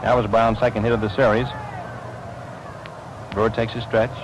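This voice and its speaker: An American man calling baseball play-by-play in 1940s radio style, describing the action in crisp phrases. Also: lowish voice, flat delivery, moderate pace, highs cut off. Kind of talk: 1940s radio sports commentary. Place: ballpark broadcast position. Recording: noisy vintage 1940s radio broadcast.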